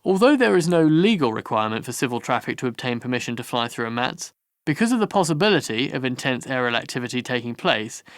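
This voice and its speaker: A man reading scripted instructional narration for a training course in a measured, formal manner. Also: mid-range voice, a bit bright, no echo, clean studio sound.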